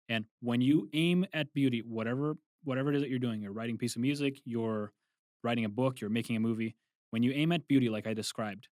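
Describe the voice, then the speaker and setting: Young adult male guest, from Brazil, mid-pitched, casual storyteller, dry studio sound. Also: dry recording. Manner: relaxed, rambling with restarts and asides. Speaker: guest, film composer. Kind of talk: casual interview chat.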